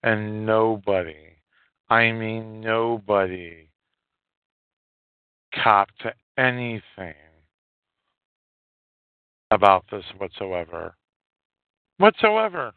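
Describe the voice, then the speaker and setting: A man hosting a solo podcast, giving opinionated commentary in halting, emphatic phrases with a lot of repetition. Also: fairly low pitch, expressive, clear, resonant tone, dry recording. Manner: coarse, swearing. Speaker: podcast host. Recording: narrow phone-like line, dry.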